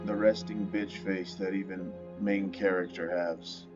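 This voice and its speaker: A man's voice: sits low, flat delivery, a little slow, dull audio. A man giving casual gameplay commentary as he reacts to a video game. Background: game music.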